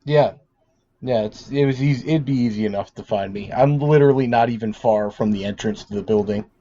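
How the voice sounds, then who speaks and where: A man playing a tabletop game, casually and haltingly describing his character's actions on slightly dull audio. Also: mid-range voice, warm tone.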